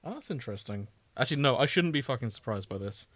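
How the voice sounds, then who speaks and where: A man's voice: medium pitch, lively intonation, fast, fairly bright tone, dry recording. A man co-hosting a podcast in casual, conversational chat. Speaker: podcast co-host.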